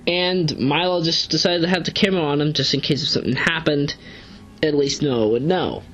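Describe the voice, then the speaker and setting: A woman's voice: sits low, fairly quick, a little hiss or hum. A woman giving casual, unscripted review commentary as an amateur reviewer, rambling through digressions and emphatic asides. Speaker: amateur web series reviewer. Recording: dull lo-fi home recording.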